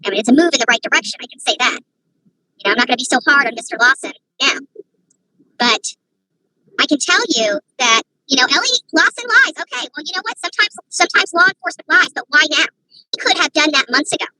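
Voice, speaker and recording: Woman livestreamer with a bright, sharp tone, rambling and indignant, talking to her viewers through a phone. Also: high voice, lively intonation, fast, slight background noise, poor audio. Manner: opinionated, rambling, rising to indignant rhetorical questions. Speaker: online commentator. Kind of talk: livestream commentary.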